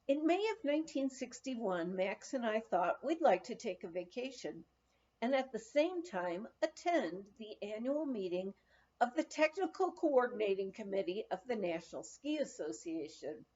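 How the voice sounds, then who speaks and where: A woman reads a lighthearted memoir in a fairly high voice. Her delivery is expressive, with very animated melody and deliberate phrasing. The recording sounds a little muffled and lo-fi. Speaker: audiobook reader. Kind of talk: narrated reading of a humorous memoir.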